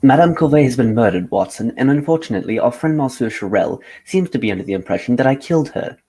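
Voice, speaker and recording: An actor playing a cool, composed gentleman detective, formal and unruffled, in old-time radio drama style. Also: mid-range voice, animated, fast talker, slightly rough. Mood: composed explaining.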